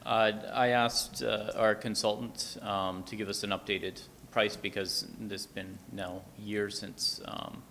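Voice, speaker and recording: A man speaking as a municipal administrator at a formal council meeting, halting and procedural as he reports. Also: lowish voice, little pitch movement, sharp tone. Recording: reverberant meeting room, background noise.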